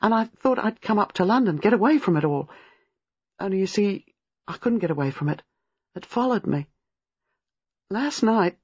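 A woman reading a storybook, playing a distraught young married woman who is frightened, halting and agitated. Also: mid-range voice, lively intonation, a little mellow, breathy edge, dry recording, dull audio, pitched above the performer's own voice. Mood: nervous, agitated. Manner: agitated, halting, rushing in bursts.

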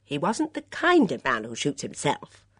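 A woman voicing a composed, brisk older lady of the house in an audiobook, firm and matter-of-fact. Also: low voice, huge pitch swings, fairly bright tone, slightly rough, no room sound, clean studio sound, full character voice. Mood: sensible, dismissive. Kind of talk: audiobook character dialogue.

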